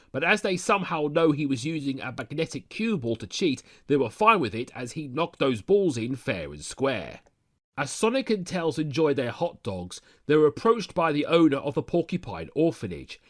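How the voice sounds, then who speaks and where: A man's voice: fairly high pitch, a bit bright. A man reading scripted narration, retelling a cartoon adventure episode as a storyteller. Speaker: narrator of an animated-series recap.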